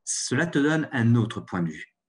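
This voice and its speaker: A man hosting a radio program, reading a formal, measured script to listeners. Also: medium pitch, very animated. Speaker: radio show host.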